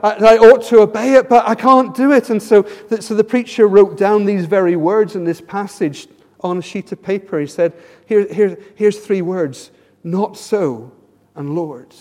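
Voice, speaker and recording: High-voiced English preacher in a reverberant hall. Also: male voice, moderate pace, a little mellow. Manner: earnest, rhetorical questions, repeats words when starting phrases. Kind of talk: sermon.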